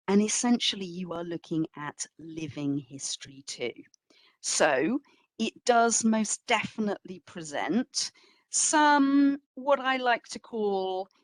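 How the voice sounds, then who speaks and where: Slow, measured woman lecturer, lower-pitched. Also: no echo. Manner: deliberate, emphatic phrasing with asides. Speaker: museum curator giving a talk. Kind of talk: public history lecture, conversational.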